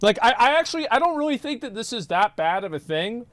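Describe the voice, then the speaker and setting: A man's voice: very high-pitched, big pitch swings, quick pace, a bit bright, dry recording. A man streaming, reacting to videos in rambling, profane casual commentary, often stammering and repeating words.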